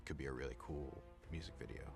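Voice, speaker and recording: A man with an extremely deep voice speaks as a developer in a documentary interview. He explains things informally over faint music. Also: steady tone, fast, creaky. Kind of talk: behind-the-scenes documentary interview.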